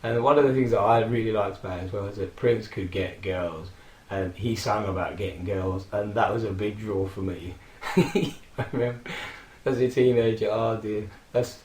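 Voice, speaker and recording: A man in his forties, hoarse from a throat infection, casually rambling and reminiscing with frequent fillers and laughs. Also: lowish voice, fairly even intonation, normal speed, darkish tone, echoey room. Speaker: vlogger. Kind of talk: casual personal tribute monologue.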